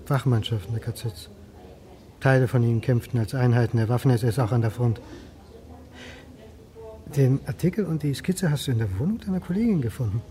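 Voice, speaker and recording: An actor playing a mild middle-aged history lecturer, quietly inquisitive, in naturalistic radio drama dialogue. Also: male voice, medium pitch, fairly quick, long pauses, slight background noise, natural voice. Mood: inquisitive, calm.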